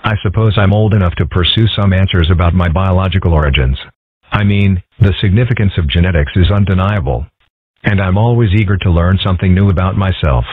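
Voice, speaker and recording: A man voicing young animal boys in a cartoon comic dub, matter-of-fact and deadpan in delivery. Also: sits low, a little fast, slightly rough, no room sound. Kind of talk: scripted comic-strip dub. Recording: dry, muffled phone-like audio.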